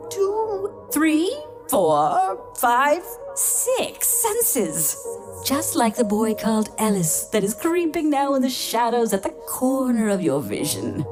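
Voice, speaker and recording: Woman host introducing a kids story, playful and theatrical, takes it really slow, music behind her. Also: mid-range voice. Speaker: host of a children's story podcast. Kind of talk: scripted playful introduction for children.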